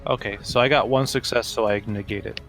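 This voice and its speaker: A man's voice: fairly low pitch, fairly even intonation, brisk, fairly bright tone, faint music behind. A man playing a tabletop roleplaying game, talking casually and reading out rules.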